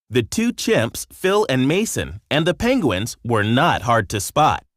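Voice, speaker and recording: A man narrating a children's story from a script in an expressive storytelling manner. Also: medium pitch, no echo, studio quality.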